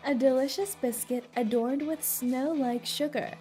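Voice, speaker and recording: Playful high-voiced woman streamer. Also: a little mellow. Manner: playful, giggly, reacts to game dialogue. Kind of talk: casual gameplay commentary.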